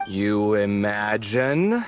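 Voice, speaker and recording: An actor playing an animated, sociable young man who bickers sarcastically with his roommate, in a game cutscene. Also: fairly low pitch, drawn-out words, a little hiss or hum, exaggerated voice. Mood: exasperated. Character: expressive sociable young man architect, easily exasperated. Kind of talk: video game cutscene voice acting.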